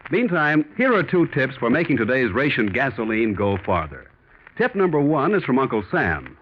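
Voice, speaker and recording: A man's voice: medium pitch, very animated, normal speed, slight background noise. An American man working as a radio announcer, reading scripted sponsor messages with polished, emphatic 1940s salesmanship. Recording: muffled 1940s radio broadcast recording.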